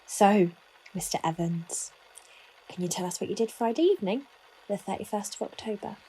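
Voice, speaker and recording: A woman's voice: medium pitch, lively intonation, normal speed, mellow, slight background noise, natural voice, low-quality recording. A woman playing a formal, probing, authoritative detective inspector in an audio drama. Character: stern, probing female police detective inspector leading interrogation.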